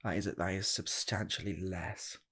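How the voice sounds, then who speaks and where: A young man playing an eager, nervous rocket scientist in a comedic ASMR job interview, speaking softly and haltingly, repeating himself. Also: sits low, steady tone, heavily acted. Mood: awkward, hesitant. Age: 20s. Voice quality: soft, husky, gravelly, slipping into whispers. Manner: halting, stammering, repeats phrases. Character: eager, nervous rocket scientist applying for fast-food work. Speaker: ASMR roleplayer.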